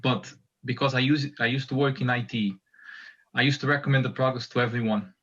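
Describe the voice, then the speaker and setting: A man's voice: mid-range voice, fairly even intonation, a little fast, fairly bright tone, highs cut off. A man from Portugal with a Portuguese accent, chatting enthusiastically on a video call as he introduces himself to a community meetup. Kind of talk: casual video call chat.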